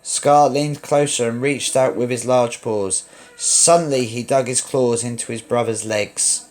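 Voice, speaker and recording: Casting: male storybook narrator, mid-pitched, dramatic and expressive read-along delivery for children. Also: measured pace.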